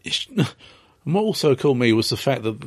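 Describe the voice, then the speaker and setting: A man co-hosting a fan commentary, quietly chiming in with observations. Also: mid-range voice, big pitch swings, normal speed, no room sound. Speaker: podcast co-host. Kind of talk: casual commentary chat.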